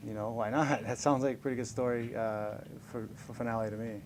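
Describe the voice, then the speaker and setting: A man's voice: medium pitch, fairly even intonation, brisk, a little hiss or hum. A man in his forties answering reporters' questions in a casual, upbeat way, often chuckling and joking about himself. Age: 40s. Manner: upbeat, chuckling, self-deprecating. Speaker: professional fighter being interviewed. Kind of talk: casual press scrum answers.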